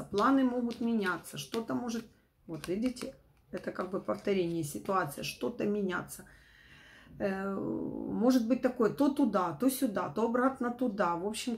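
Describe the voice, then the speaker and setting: A middle-aged woman with a not fully recovered voice, giving an informal tarot forecast to camera in a halting, rephrasing way. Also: mid-range voice, a little hiss or hum. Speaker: tarot reader, host. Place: small room at home, indoors.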